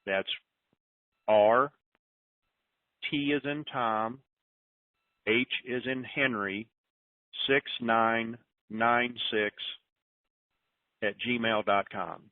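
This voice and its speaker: A man's voice: medium pitch, steady tone, extremely slow, long pauses. A man hosting an informal group call in a folksy, disorganized way, rambling through announcements and thinking aloud. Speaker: conference call host. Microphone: telephone. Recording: roomy, tinny phone conference line.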